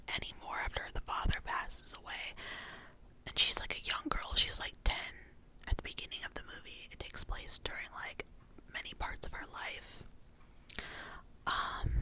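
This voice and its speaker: Young female, whispered ASMR rambler, breathy, bright and sibilant, informal and chatty delivery. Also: sits high. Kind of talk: whispered ASMR ramble about favorite movies.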